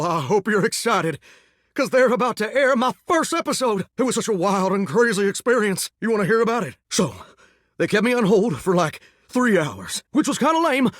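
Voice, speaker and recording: A man's voice: sits high, no echo, studio quality. A man with a Southern US accent voicing a folksy, earnest, starry-eyed singing shower in a dating game, drawling homespun sayings. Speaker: voice actor in a dating sim game. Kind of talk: expressive video game character dialogue.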